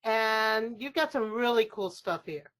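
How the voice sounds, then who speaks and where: A woman's voice: a little high, animated, a little slow, a bit bright. A woman co-presenting a webinar over a video call, talking casually and informally.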